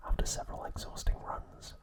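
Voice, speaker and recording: A man narrating trivia as an ASMR host, telling it softly and without hurry. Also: brisk.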